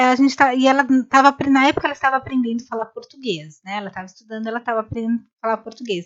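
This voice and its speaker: A woman's voice: sits high, highs cut off. A woman co-hosting a live conversation, telling a story in a rambling way with many restarts. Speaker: co-host of a live talk. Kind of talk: casual chat.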